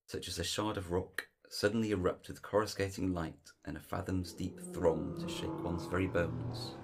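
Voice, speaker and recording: A man's voice: low-pitched, vocal fry, a little mellow, a little hiss or hum. A man delivering scripted dramatic narration for an audio drama.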